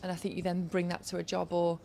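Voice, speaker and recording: Yorkshire woman, low and flat. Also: fast talker, creaky, a little hiss or hum. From Yorkshire, England. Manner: earnest, advice-giving, talks in a steady flow. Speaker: interviewee, former Olympic organising staff. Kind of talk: casual interview answers.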